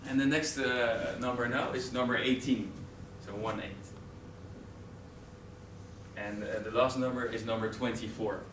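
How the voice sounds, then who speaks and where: A man's voice: medium pitch, steady tone, measured pace, pauses often. Hesitant Dutch man presenting to a room. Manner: hesitant, many fillers and restarts. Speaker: presenter in a training course. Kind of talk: live classroom presentation. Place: training room with an audience. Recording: roomy, distant camera audio with noise.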